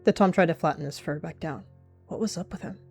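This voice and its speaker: A woman with a lowish voice narrates a roleplay story, calm and measured. Faint music plays in the background.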